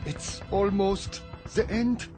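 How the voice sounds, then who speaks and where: An actor playing an exhausted, despairing wartime officer in a radio drama, speaking gravely, formally and urgently. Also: male voice, high-pitched, fairly even intonation, takes it slowly, over loud music.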